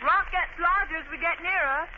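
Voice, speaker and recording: Worried radio heroine, really high earnest voice, tinny old recording. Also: female voice, a little fast, background noise. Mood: apprehensive. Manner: earnest, questioning, theatrical. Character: anxious, loyal female companion of adventurer. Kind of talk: 1940s radio drama acting.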